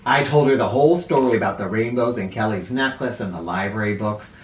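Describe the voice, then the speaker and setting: A man hosting a children's read-aloud, narrating a storybook in an animated style. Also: fairly low pitch, brisk, slight background noise. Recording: echoey room, distant mic.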